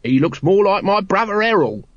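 A man's voice: high voice, very animated, moderate pace, fairly bright tone, no echo, dull audio, voice pushed up, full character voice. A man voicing a cheeky, chirpy city watchman who is eager to please, in a comic storybook reading. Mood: cheerful, ingratiating.

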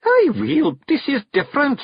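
A male narrator voicing a small, fierce, excitable fairy clan chief with a Scottish accent, high, loud and flustered. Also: normal speed, vocal fry, no echo, highs cut off, exaggerated voice.

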